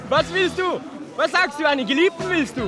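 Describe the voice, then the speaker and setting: An extremely high, scornful, laughing mocker in a seventies radio drama. Music and crowd sounds run behind the derisive voice. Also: male voice, exaggerated voice. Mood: mocking. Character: mocking, scornful cackler who laughs at everything. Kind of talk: 1970s German radio play acting.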